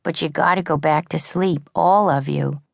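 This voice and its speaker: A woman's voice: low-pitched, fairly even intonation, normal speed, vocal fry, no echo, clean studio sound, exaggerated voice. A woman voicing a tough but caring young orphan girl who leads the others, plain-spoken and slangy, in an audiobook. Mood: firm, commanding.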